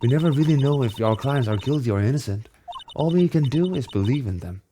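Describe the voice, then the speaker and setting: A man voicing a composed female law-firm chief, a measured and reassuring mentor, in a dramatic game reading. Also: mid-range voice, expressive, noisy background, close to an everyday voice. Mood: earnest, encouraging.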